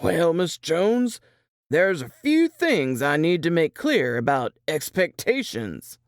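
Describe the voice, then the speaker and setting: A woman's voice: low-pitched, big pitch swings, takes it slowly, no room sound, clean studio sound, heavily acted. A woman voicing a belligerent, slurring male tavern manager who has been drinking, in a story reading. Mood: tipsy, insistent.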